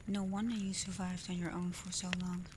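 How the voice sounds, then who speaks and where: A woman voicing a caring alpha werewolf in a soft, breathy ASMR roleplay, gentle and reassuring, confident but privately unsure. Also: fairly low pitch, a little fast, lightly acted. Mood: warm, encouraging. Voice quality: soft, breathy, often near a whisper. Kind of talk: ASMR roleplay, soft intimate talk to the listener. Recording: clear steady ambient noise.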